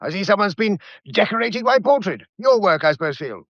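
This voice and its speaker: A brash upper-class English explorer in a radio mystery. He talks fast and sardonically in a highish, animated voice, with a slightly muffled sound. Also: no echo, heavily acted. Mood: mocking, indignant. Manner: sardonic, blustering. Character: brash, sardonic male explorer under suspicion. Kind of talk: radio drama acting.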